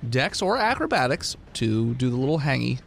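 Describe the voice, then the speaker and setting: A man's voice: mid-range voice, very animated, normal speed, vocal fry, slight background noise. A man running a tabletop game as game master, improvising narration in a casual, sweary way.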